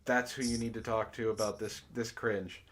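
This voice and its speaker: A man's voice: on the low side, little pitch movement, normal speed, a bit bright, slight background noise. A man hosting a book club stream, reading aloud and chatting casually. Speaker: host and reader of a comic book club stream.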